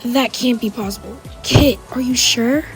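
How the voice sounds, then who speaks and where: A woman plays an assertive young fairy in a fan roleplay drama. She has a moderately high voice and a questioning air, with music underneath. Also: a little slow, natural voice. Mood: concerned. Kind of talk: amateur voiced roleplay acting.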